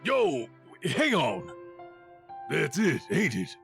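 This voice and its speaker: A man playing a brash, hot-tempered rebel fighter in a video game, blunt and excitable. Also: on the high side, drawn-out words, creaky, soft background music, exaggerated voice. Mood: agitated.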